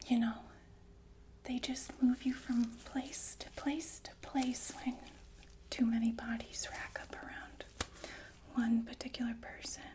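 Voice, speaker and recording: A woman playing a calm, sinister killer nurse in a whispered ASMR roleplay, speaking politely and matter-of-factly with hesitant, unhurried phrasing. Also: fairly high pitch. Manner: unhurried, hesitant, softly matter-of-fact about death. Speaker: ASMR performer.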